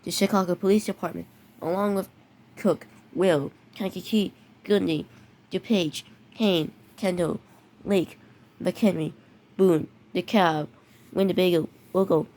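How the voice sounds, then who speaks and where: Stumbling mock emergency announcer. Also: female voice, on the low side, fairly even intonation, darkish tone. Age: teenager. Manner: halting, many restarts and stumbles. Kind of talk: improvised fake emergency broadcast.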